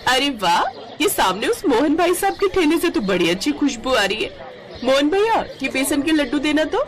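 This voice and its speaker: Woman doing a high, gushing village woman. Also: moderate pace. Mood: delighted. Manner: excited, exclaiming. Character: delighted, gushing young village woman tasting sweets.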